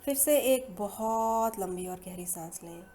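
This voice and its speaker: Indian female meditation guide, mellow and soothing, long pauses, soft music underneath. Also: medium pitch, expressive. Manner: soothing, unhurried, with long pauses between phrases. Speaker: guided meditation and hypnosis leader. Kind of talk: slow guided meditation narration.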